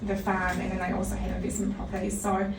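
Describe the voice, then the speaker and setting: New Zealand woman, thirties, guest, matter-of-fact, gravelly voice, echoey room, noisy background. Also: mid-range voice, monotone, distant mic. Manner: dry, matter-of-fact, measured with many pauses. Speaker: guest, design week founder. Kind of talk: casual interview.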